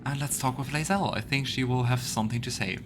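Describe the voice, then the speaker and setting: A man's voice: medium pitch, quick pace, music in the background. A man hosting a let's play, commenting casually and reading dialogue choices aloud.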